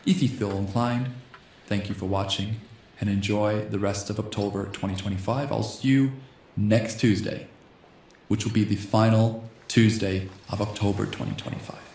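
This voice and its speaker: A slightly hoarse man hosting a gaming channel, reading a text adventure game aloud in a soft ASMR style and speaking the punctuation marks. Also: fairly low pitch, steady tone, moderate pace, reverberant, a little hiss or hum. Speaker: host.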